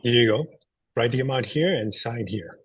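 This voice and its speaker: A man's voice: mid-range voice, moderate pace, breathy edge. A man playing a courteous bank teller in his twenties in a script read, businesslike. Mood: polite, businesslike. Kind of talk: dramatic script table read.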